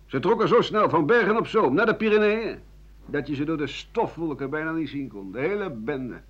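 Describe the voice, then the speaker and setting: Sardonic, self-justifying old man for radio drama monologue; husky, rambling delivery, muffled lo-fi recording. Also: fairly high pitch, animated, a little fast. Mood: agitated, bitter. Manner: rambling, coarse, sardonic, stammering at times. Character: bitter, self-justifying old French doctor-writer looking back. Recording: dull lo-fi 1980 radio recording.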